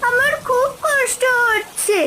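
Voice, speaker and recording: Little magic fish, extremely high voice, plaintive, trusting, children's cartoon, faint background noise. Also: female voice, a little slow, bright, forceful tone, full character voice, low-quality recording. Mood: tearful pleading. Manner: pleading, plaintive.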